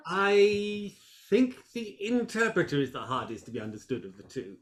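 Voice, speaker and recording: A man playing an excitable, self-satisfied gentleman critic in a period stage comedy, exclamatory and blustering. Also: high voice, normal speed. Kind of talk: theatrical period comedy play reading.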